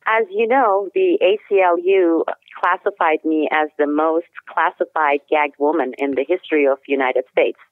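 A woman whose first language is Turkish speaks over a thin, phone-like line with no room sound at all. Her voice sits slightly low, and she works through a long account carefully, restarting a phrase now and then. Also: moderate pace, hardly any pauses, dry recording. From Turkey. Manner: steady, detailed explanation with occasional restarts. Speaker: guest, author. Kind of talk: interview answers.

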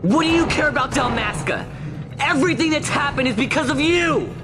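Hotheaded teen boy in a game, high voice, really animated, music under it. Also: a little fast. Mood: furious, anguished. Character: hot-headed, grieving teenage boy, naive and blunt. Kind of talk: video game cutscene acting.

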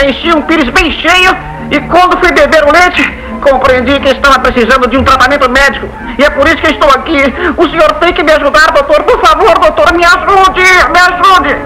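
A man's voice: very high voice, poor audio. A man voicing an anxious, stammering male cartoon duck in a Brazilian dub, confessing and pleading like a nervous patient. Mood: desperate pleading. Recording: music behind the voice.

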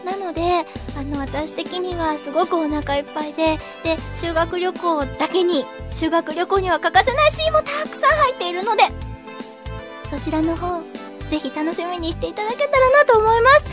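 A woman's voice: very high-pitched, expressive, fairly quick, music in the background. A voice actress giving a cheerful cast comment, tripping over her words, in a recording studio.

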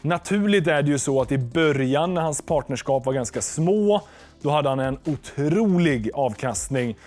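A man's voice: on the high side, darkish tone. A young man explaining investing in front of a chart screen in a studio, speaking steadily into a lapel mic. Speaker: finance educator.

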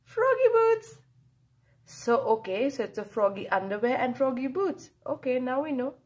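A woman reading a picture book aloud to children, expressive and playful, drawing out key words for emphasis. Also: sits high, moderate pace, a little hiss or hum. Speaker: children's storyteller.

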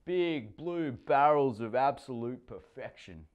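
A man voicing a boastful, cheerful middle-aged surfer stepfather, pitched higher and louder than his own voice. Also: expressive, takes it slowly, dull audio. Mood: chirpy.

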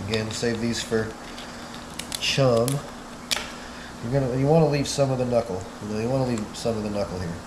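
A man's voice: mid-range voice, brisk, pauses often. Man, 30s, casual how-to host, filler-heavy, roomy echo, constant background hum. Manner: easygoing, many "uh" fillers, step-by-step. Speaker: fishing channel host. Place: small indoor bait room, hard walls. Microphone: wide camera several feet away.

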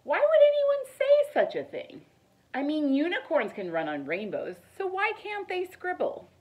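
A middle-aged woman reads a children's picture book aloud in an expressive, deliberate storytelling manner. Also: sits high, narrow, tinny audio. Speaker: teacher reading aloud. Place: living room, indoors.

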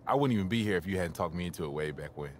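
An actor playing a grateful, modest young basketball player in natural video game cutscene dialogue. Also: male voice, sits low, animated, very fast, a little hiss or hum.

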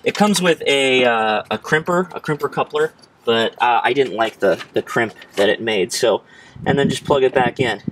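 A man's voice: medium pitch, normal speed, background noise. A young American man giving a casual, informal how-to walkthrough, explaining as he goes. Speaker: how-to presenter. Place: in and beside a parked car outdoors.

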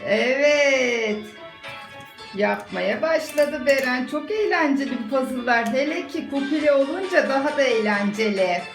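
Woman, encouraging mother talking to young child, casual narration, slightly high pitch, creaky edge, echoey home room. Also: expressive, measured pace. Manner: encouraging, praising. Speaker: mother filming and narrating her child's puzzle activity. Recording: echoey room with music behind.

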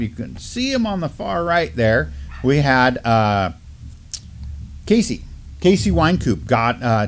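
A middle-aged American man hosts a news chat with a mid-range voice. He talks informally and with enthusiasm, often circling back over his phrases as he moves between items. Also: medium pitch. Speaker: news show host. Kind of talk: casual news discussion. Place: indoor studio at a table.

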